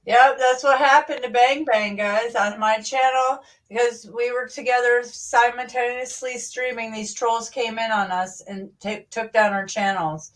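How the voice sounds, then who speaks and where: A woman's voice: on the high side, steady tone, unhurried, hardly any pauses. Chatty woman streamer, far from the mic. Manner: chatty, scattered, repeats herself. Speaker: livestream host. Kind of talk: casual livestream chat.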